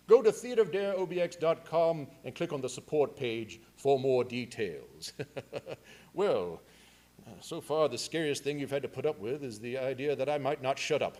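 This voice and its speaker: A man giving a live pre-show welcome as a theatre host, with a wry, self-deprecating manner. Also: on the high side. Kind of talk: live curtain speech, part scripted.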